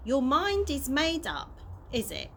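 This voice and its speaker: A woman voicing a kindly, courteous old male tramp in a gentle, measured, earnest way. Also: high-pitched, wildly swooping pitch, creaky, voice pushed up, full character voice. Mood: earnest, resigned. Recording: noisy amateur home recording.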